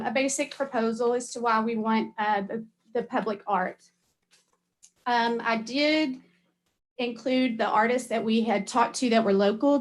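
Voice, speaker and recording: A woman speaking as a community representative at a local government meeting, making a semi-formal request with hesitant pauses. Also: a little high. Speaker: community group representative addressing a county meeting. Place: county meeting room.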